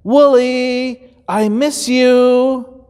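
Man voicing a high, singsong searching shepherd. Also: steady tone, a little mellow, clean tone, full character voice. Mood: longing. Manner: drawn-out, high singsong calling. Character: loving shepherd man, anxiously calling his lost lamb. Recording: long hall reverb.

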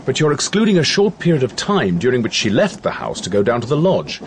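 Animated, earnest English doctor. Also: male voice, medium pitch, brisk, a little hiss or hum. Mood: insistent. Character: earnest, defensive male country doctor. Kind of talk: radio drama acting.

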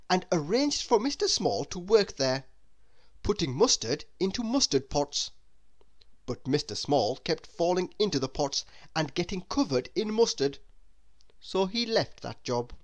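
A storyteller reading a children's book aloud in an expressive, measured manner. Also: mid-range voice. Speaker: storybook narrator. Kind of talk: children's read-aloud narration.

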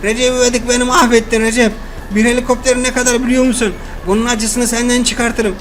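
A boastful, scheming rich man who whines when things go wrong, played by a man in a toy cartoon. His voice is pitched fairly high. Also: flat delivery, fairly quick, fairly bright tone, slight background noise. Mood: whining. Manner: boastful, whiny when upset.

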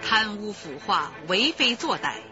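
A female narrator delivers scripted radio drama narration in a bright, animated voice of medium pitch, slightly muffled over faint music. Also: measured pace.

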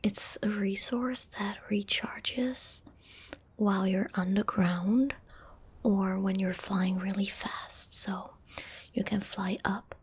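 A young woman speaking in a gentle, hushed ASMR whisper, breathy and close to the microphone. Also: medium pitch, steady tone, slow, a little hiss or hum. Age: young adult. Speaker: ASMR video creator. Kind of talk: soft-spoken ASMR. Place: small indoor room at home. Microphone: close desk microphone.

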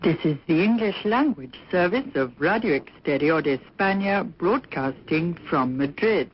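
A woman's voice: fairly low pitch, animated, moderate pace. A woman radio announcer reading out a scripted broadcast schedule for an international shortwave service. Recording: dull, noisy shortwave radio recording.

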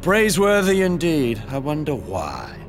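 A man's voice: fairly high pitch, wildly swooping pitch, a little slow, faint music behind. A man playing a sinister, gloating servant of death in a video game, with archaic, mocking, theatrical delivery.

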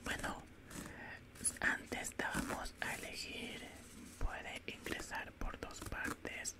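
Man playing a slow whispering alien doctor. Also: close to an everyday voice. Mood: calm, clinical. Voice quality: soft, breathy unvoiced whisper. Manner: slow, soothing, matter-of-fact. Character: calm, politely menacing male alien doctor examining a human. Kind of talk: whispered ASMR roleplay. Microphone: close studio microphone.

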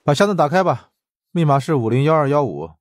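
A man voicing a gruff, dismissive middle-aged department chief with a husky, condescending drawl in an audiobook. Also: a little high, lively intonation, fast, pitched above the performer's own voice, natural voice. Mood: offhand.

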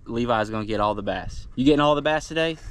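A man, chatting casually and coaching his young son while bass fishing from a boat. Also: fast talker. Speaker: fishing channel host. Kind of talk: casual outdoor vlog talk. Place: outdoors on a bass boat on a river. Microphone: action camera on the boat. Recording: outdoor camera audio with clear wind and water noise.

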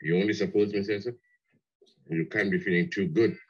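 Deep husky, wistful former chauffeur. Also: male voice, fairly even intonation, brisk. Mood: sly, cheery. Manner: wistful, polite, needling. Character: nostalgic, ingratiating former chauffeur, loyal visitor. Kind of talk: 1960s radio drama acting. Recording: dull old 1960s radio play recording.

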